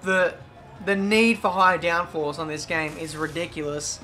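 British guy narrating his racing game, kinda croaky, high bright voice, music running underneath. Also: normal speed. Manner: chatty, excitable play-by-play. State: slightly croaky. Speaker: gaming YouTuber.

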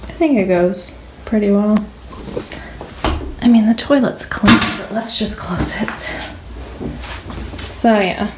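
A woman's voice: mid-range voice, slow, pauses often, noisy background. A young American woman chatting casually to her phone camera as a vlogger. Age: young adult. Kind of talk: casual vlog chat to camera. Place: home kitchen, later inside a moving car. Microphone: phone at arm's length.

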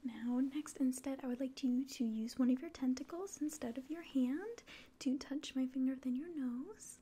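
A woman in her twenties whispering softly and breathily in a gentle medical roleplay, giving slow instructions up close. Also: high-pitched, breathy edge. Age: 20s. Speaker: ASMR performer playing an alien doctor giving an exam. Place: small room indoors.

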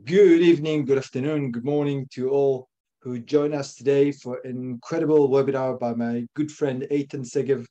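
A man opens a webinar in a loose, welcoming way. His voice is very dark and mellow, and he repeats words as he goes. The sound comes through a video call. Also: medium pitch. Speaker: webinar host. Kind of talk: hosting a webinar.

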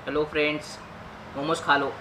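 Upbeat young man with a high voice. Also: moderate pace, noisy background. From Maharashtra, India. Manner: chatty, loud and upbeat to camera outdoors, quieter and relaxed while eating. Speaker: food vlogger. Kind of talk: casual food review vlog. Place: outdoor street beside a bus, then inside a bus restaurant. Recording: background music under the voice.

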